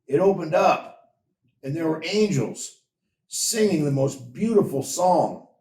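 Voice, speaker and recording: A man voicing an excitable young shepherd, an older brother in a storybook, eager and amazed. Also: a little high, takes it slowly, voice pushed up. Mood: excited wonder. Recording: roomy echo, distant from mic.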